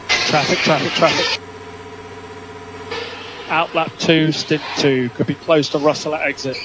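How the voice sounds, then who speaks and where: A man working as a race engineer, giving measured, clipped instructions over team radio and repeating key calls. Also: a little high, steady tone. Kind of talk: instructional radio messages to a racing driver. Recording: noisy motor racing team radio.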